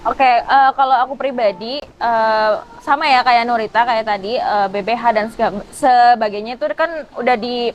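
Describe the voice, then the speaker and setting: A young woman talks as a student guest in a casual interview. Her voice is high, and she hesitates as she looks for words. There is faint noise behind her. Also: moderate pace, rough recording. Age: young adult.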